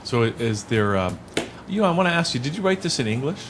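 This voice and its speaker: An interviewer asking an author halting, self-correcting questions. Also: mid-range voice, very animated, noisy background.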